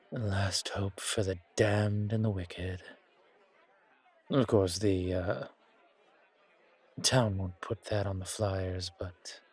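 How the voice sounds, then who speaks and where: Suave male vampire, voiced by a man for roleplay audio: low, raspy, genteel and flirtatious, with bar chatter behind. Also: heavily acted. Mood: wry, poetic. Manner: genteel, poetic, unhurried, flirtatious. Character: suave, courtly, old-world male vampire charming a stranger. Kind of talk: roleplay audio, ASMR horror.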